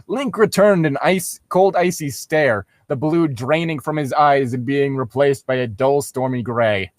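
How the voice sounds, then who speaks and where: A man's voice: medium pitch, measured pace, dry recording, studio quality. A man reading fan fiction aloud as a co-host, casually mocking it with profane asides.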